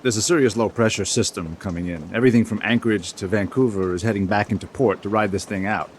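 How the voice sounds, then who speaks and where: An actor playing a blunt, stubborn, profane sea captain and treasure hunter in an audio drama. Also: male voice, lowish voice, fast talker, slight background noise, lightly acted. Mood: dismissive. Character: gruff, stubborn, hot-tempered male boat captain and treasure hunter. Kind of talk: full-cast audio drama acting.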